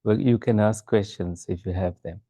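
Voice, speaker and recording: A man from Sri Lanka, a resident Buddhist monk introducing himself gently in a casual group chat, with soft laughs. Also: lowish voice, fairly even intonation, moderate pace, dry recording.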